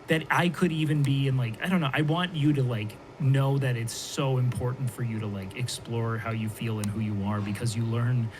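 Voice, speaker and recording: A man's voice: medium pitch, fairly even intonation, normal speed, hardly any pauses. A man hosting a casual podcast chat, talking earnestly and encouragingly with lots of fillers. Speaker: podcast host. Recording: noisy online call audio.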